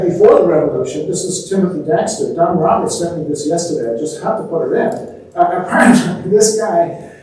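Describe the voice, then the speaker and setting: A man's voice: fairly high pitch, dark tone. A man presenting an informal history lecture to an audience, telling stories conversationally and posing rhetorical questions. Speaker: museum talk presenter. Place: indoor museum talk before a live audience. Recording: very echoey room, distant mic, faint noise.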